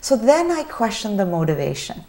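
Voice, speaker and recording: A woman of ordinary pitch moderates a television panel. She poses long, reflective questions and hedges them with fillers as she works her way toward the point. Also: sing-song, moderate pace, darkish tone. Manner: thoughtful, hedging questions with many fillers. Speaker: TV talk show host.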